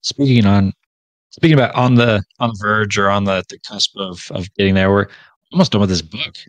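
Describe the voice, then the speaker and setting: A man's voice: on the low side, a little fast, dry recording. A man co-hosting a casual podcast, summarizing chapters in a loose, informal way with frequent restarts. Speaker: podcast co-host.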